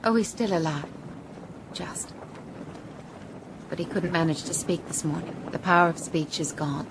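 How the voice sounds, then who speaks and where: An actress playing a genteel, emotional castaway, weary yet brave, with heartfelt delivery in a radio drama. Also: female voice, on the low side, moderate pace, background noise, lightly acted. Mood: subdued. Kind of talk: broadcast radio drama acting.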